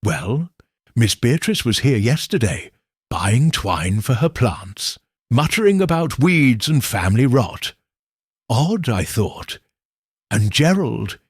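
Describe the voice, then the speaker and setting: A man's voice: mid-range voice, slightly rough, no echo, clean studio sound, exaggerated voice. A man voicing a gossipy village grocer's wife in an audiobook, conspiratorial and insinuating. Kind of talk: audiobook character reading.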